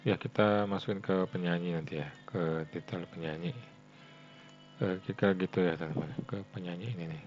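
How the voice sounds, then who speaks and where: An Indonesian man giving a casual coding tutorial, thinking aloud in a halting way and often tagging phrases for his viewers. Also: sits low, a little mellow. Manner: halting, frequent "ya" tags addressing viewers as friends. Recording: dull lo-fi mic with faint hum.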